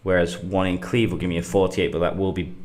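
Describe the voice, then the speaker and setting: A middle-aged man, getting over a cold and a bit sniffly, talking through a game on a livestream in a halting way, thinking aloud with lots of fillers. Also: sits low, level tone, darkish tone. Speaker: gaming livestream host. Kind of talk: casual gameplay commentary.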